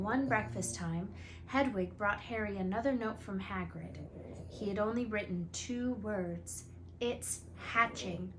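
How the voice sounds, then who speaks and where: Woman, teacher read-aloud, storybook narration, expressive, medium pitch, faint background noise.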